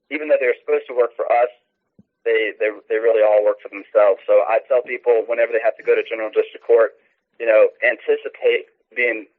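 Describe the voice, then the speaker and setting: An American man speaking as a radio interview guest, explaining things in a halting, earnest way with repeated words. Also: lowish voice. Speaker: activist guest, phone-in interviewee. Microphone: telephone. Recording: muffled narrow phone line.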